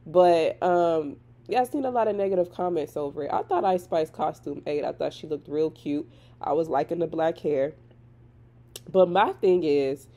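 A woman's voice: low voice, mellow. A woman with a Southern US accent, congested from a cold, giving chatty and opinionated commentary on celebrity gossip. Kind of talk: casual commentary vlog. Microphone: voice-over on still images.

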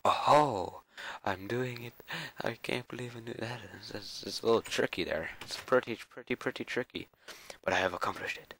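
A young man with a cold and a raspy, scratchy voice, mumbling casual commentary to himself while playing a game. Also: medium pitch, normal speed, a bit bright. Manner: mumbling, self-talk with frequent fillers and repeats. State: hoarse with a cold. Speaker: gameplay video creator.

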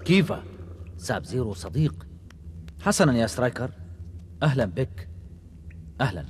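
An actor playing a calm, earnest male warrior in a dubbed animated action series, explaining himself seriously. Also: mid-range voice, a little fast, slight background noise, lightly acted. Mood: welcoming.

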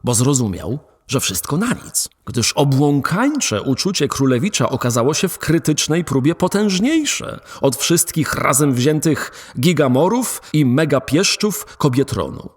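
Expressive male storyteller. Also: fairly high pitch, measured pace, few pauses, breathy edge. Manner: expressive, animated reading. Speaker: narrator. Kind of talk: storytelling narration of a radio play. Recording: faint background music.

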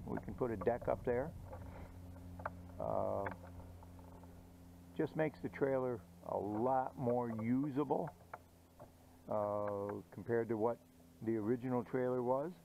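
A middle-aged man casually explaining a welding project outdoors, slow and halting with many "uh" pauses. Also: medium pitch, gravelly, narrow, tinny audio, slight background noise. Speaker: DIY channel host. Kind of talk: casual how-to narration. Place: outdoors on a grassy yard.

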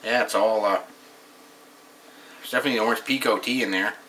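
Man in his thirties, bright voice, offhand rambling, camera mic in a small room, faint hiss. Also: on the low side, a little fast, poor audio. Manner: rambling, offhand, reads label aloud. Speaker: beer reviewer. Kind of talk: casual home video review. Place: small room at home, indoors.

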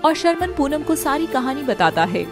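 An Indian woman reads a scripted tale as its narrator. Her voice sits high, and music plays clearly behind her storytelling. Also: big pitch swings, moderate pace.

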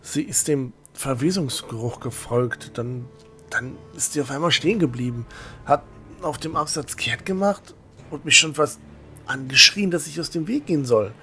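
A man's voice: on the high side, moderate pace, bright, forceful tone, faint music behind. A man playing an earnest, stocky young religious novice in a fantasy audio drama, agitated and stammering over his words. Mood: troubled, firm. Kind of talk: fan-made fantasy audio drama acting.

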